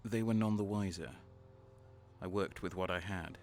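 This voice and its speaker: An actor playing a bitter, sardonic renegade soldier, narrating and speaking in a dark sci-fi audio drama with a brooding, cynical manner. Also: male voice, sits low, moderate pace, faint music behind. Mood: cynical, needling.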